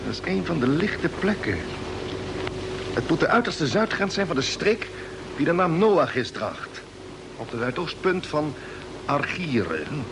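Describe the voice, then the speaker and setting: Resolute space commander, lively intonation. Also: male voice, a little high, measured pace, gravelly, long pauses, heavily acted. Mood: patient, explanatory. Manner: patient, explanatory, firm. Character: Jeff, steady, resolute male Mars expedition commander. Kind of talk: old Dutch radio drama acting. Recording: noisy old radio recording with ambience.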